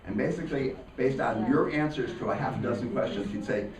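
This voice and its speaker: A man with a northeastern Pennsylvania accent leading a relaxed classroom discussion and telling anecdotes. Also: mid-range voice, a little fast, a crowd in the background. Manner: conversational, anecdotal. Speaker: teacher. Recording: roomy classroom, mic at a distance.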